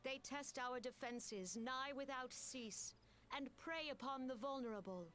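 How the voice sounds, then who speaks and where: An actress voicing a solemn woman military commander who addresses her people in a grave, formal and measured way. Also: sits high, a bit bright. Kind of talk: video game cutscene voice acting.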